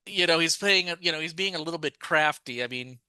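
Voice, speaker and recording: Bright, crisp-voiced man chatting. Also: fairly high pitch, a little fast, dry recording. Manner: talkative, restarts sentences often. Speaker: podcast co-host. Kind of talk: casual chat.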